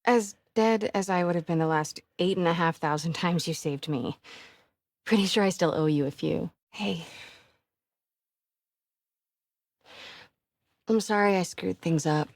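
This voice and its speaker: A woman playing a determined, brave young reporter in a video game, breathy and often hushed. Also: on the low side, normal speed, no echo, studio quality. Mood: earnest. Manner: hushed, often whispering to herself.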